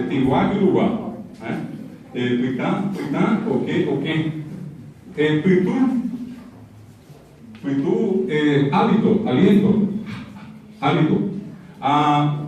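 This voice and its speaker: A man with a slightly high voice teaches a language in a very echoey hall, far from the microphone. He is didactic, repeating example words and checking in with his listeners. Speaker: lecturer teaching Guaraní. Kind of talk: interactive language lecture with spoken examples. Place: university auditorium. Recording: very echoey hall, distant mic, audience noise.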